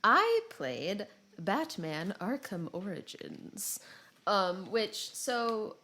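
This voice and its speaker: A woman's voice: mid-range voice, very animated, drawn-out words, sharp tone. A woman chatting casually as the co-host of a podcast.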